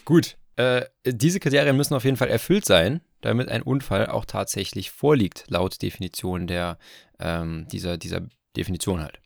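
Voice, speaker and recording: A man co-hosting a podcast, explaining topics in a brisk, casual way and laying out definitions slowly and deliberately. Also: on the low side, creaky, dry recording, clean studio sound. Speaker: podcast co-host.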